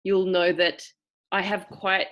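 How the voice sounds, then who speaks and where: A woman with a cultivated, trained-sounding Australian accent, teaching an acting seminar over a video call. She talks thoughtfully, stopping often to find the right word. Her voice sits at a middle pitch for a woman. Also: little pitch movement, fairly bright tone. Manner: thoughtful, searching for words, with pauses. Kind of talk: explanatory seminar talk.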